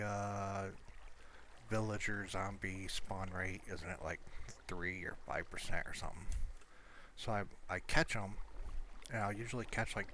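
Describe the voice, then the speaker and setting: A man's voice: lowish voice, bright, forceful tone. A man giving casual, rambling commentary over his own video game play, speaking off the cuff. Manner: rambling, unscripted asides. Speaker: gamer narrating own gameplay. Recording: clearly noisy home recording.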